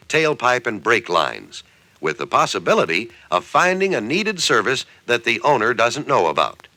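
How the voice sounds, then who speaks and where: An American man narrates in the style of an early 1960s sales training film. His pitch swings widely as he makes each point, and the tone is bright and forward. He sounds conversational yet persuasive, leaning on key phrases, in a dry recording with little room sound. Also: no echo.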